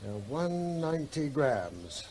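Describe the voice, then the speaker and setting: A middle-aged British country vet in a naturalistic radio play. His voice sits a little high for a man, and he talks like a wry, careful father given to gentle grumbling. There is faint noise behind him. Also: big pitch swings, takes it slowly. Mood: matter-of-fact. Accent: British English. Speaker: actor in a radio drama.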